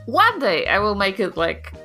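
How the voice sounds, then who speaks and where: A woman's voice: medium pitch, wildly swooping pitch, moderate pace, sharp tone, faint music behind. A woman chatting casually as a podcast regular, with a dry, self-deprecating manner. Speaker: podcast player and comedian. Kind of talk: casual chat.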